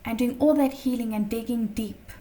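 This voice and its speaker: A woman teaching a tarot lesson in a chatty, casual way, her voice slightly croaky from a long day of talking, often checking in with "okay?". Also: on the high side, normal speed, warm tone, distant mic. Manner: chatty, many fillers and "okay?" check-ins.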